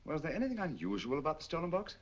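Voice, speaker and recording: An actor with a British accent playing a sharp, inquisitive male detective, asking crisp, probing questions in 1940s film style. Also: fairly high pitch, very animated, rapid-fire. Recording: dull old film soundtrack.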